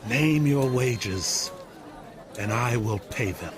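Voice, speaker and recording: A crafty older bible patriarch, really drawn-out delivery, crowd outside behind. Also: male voice, mid-range voice, lively intonation. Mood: calculating. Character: shrewd older herd-owning father-in-law, slow and calculating. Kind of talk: audio Bible drama acting.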